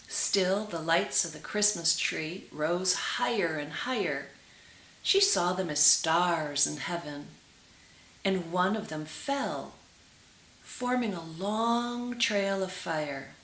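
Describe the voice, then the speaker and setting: A woman reading a story aloud in an expressive storybook style, with dramatic, deliberate phrasing and drawn-out words. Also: fairly low pitch. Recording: roomy, echoing sound.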